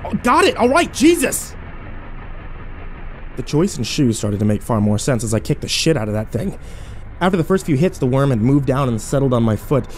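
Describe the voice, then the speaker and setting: A man playing an overwhelmed, foul-mouthed young man who narrates his ordeal haltingly in a horror audio drama. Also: mid-range voice, sing-song, slight background noise, lightly acted, low-quality recording. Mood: frustrated, frantic. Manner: halting, self-interrupting, swearing, incredulous.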